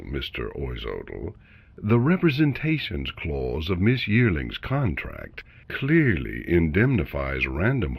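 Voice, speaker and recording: Man as a very deep, gravelly stuffy lawyer. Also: no echo, full character voice. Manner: formal, legalistic, deliberate. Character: stuffy male lawyer pony, formal and measured. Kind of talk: dramatized fan fiction audio reading.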